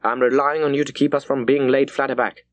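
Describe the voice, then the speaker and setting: A man voicing a curt, decisive British naval captain, barking crisp orders in an audiobook. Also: mid-range voice, flat delivery, fairly quick, no room sound, voice pushed up, close to an everyday voice. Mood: brisk, excited. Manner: barks crisp orders, clipped and urgent. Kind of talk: dramatised audiobook character.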